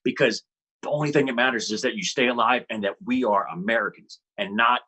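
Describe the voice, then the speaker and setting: A man's voice: medium pitch, a little fast, sharp tone, breathy edge. A man on a panel, making earnest, argumentative points. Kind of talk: panel discussion.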